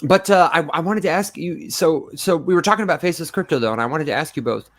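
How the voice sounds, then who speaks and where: A man with a nasal, reedy voice and a slight Michigan accent, co-hosting a casual chat and telling animated stories with frequent laughter. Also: fairly high pitch, fast talker, no echo. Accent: Michigan, mostly neutral American. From Las Vegas, raised in Michigan. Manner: animated storyteller, laughs often. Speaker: co-host.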